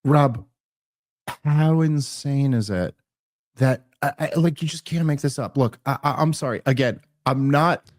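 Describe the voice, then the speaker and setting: A man's voice: medium pitch, unhurried, darkish tone. An American man hosting a political podcast, talking casually and sarcastically, full of restarts and fillers. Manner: rambling, many restarts and fillers; sarcastic, exasperated. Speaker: podcast host.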